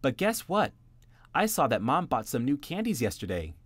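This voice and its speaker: A squeaky little boy bunny, greedy and impulsive, squealing high and swinging his voice all over the place, as a man puts him on. Close and dry, like a bedtime storybook read right into the mic. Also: moderate pace, no echo. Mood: sneaky. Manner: high excited childlike squeals. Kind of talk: storybook reading.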